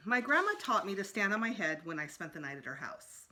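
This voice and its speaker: A woman reading a children's story aloud as storytime narrator, expressive, with emphatic pauses. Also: medium pitch, a little hiss or hum. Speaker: storytime reader.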